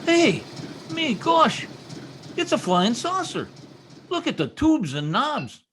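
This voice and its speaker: Guy doing old radio comedy husband, blustery and boastful, folksy talk, really animated high voice. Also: slow, noisy background, heavily acted. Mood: frantic excitement. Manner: folksy, blustering speech with quaint exclamations. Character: blustery, excitable, boastful small-town husband, folksy skeptic. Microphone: video call microphone. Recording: video call recording.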